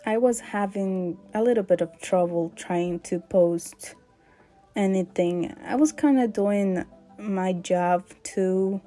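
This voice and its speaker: Woman w an accent, slow and mellow, lots of uhs, chatty art vlog vibe. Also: medium pitch, faint music behind. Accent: non-native English accent. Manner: hesitant, many fillers, soft laughs. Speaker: art vlogger. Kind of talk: casual sketchbook vlog chat.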